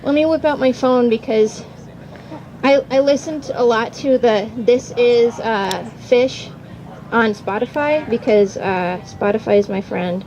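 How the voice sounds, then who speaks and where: A young woman student with a high voice speaks off the cuff on a panel. Her delivery is chatty and informal, with some hesitation. She is recorded outdoors with clear ambient and vehicle noise behind her. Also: unhurried, darkish tone, muffled recording. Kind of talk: casual unscripted panel talk. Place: outdoors at a campground.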